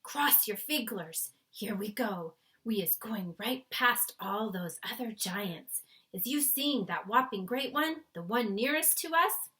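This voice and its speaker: A woman voicing a gentle, nervous, kindly male giant in a storybook, soft-spoken with quaint muddled grammar. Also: mid-range voice, animated, slow pace. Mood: hushed, urgent. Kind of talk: storybook read-aloud character voice.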